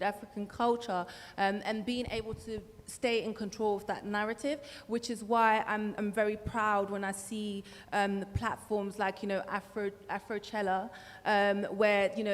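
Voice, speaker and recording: A woman panelist of Tanzanian origin who grew up in the Netherlands, speaking with hesitant fillers but growing passionate. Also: medium pitch. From Tanzania, raised in the Netherlands. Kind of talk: panel discussion. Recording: long hall reverb, faint noise.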